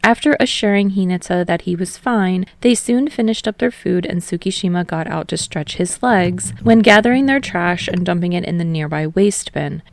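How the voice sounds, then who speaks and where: A woman's voice: on the low side, normal speed, gravelly, few pauses, no room sound. A woman reading a story aloud as an audiobook narrator, in scripted third-person prose.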